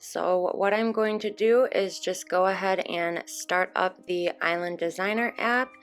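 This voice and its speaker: A young woman hosting her channel, chatting casually over gameplay, her voice a little hoarse. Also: on the low side, measured pace. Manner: relaxed, chatty explaining with fillers. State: slightly hoarse from screaming. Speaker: gaming channel host. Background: soft background music.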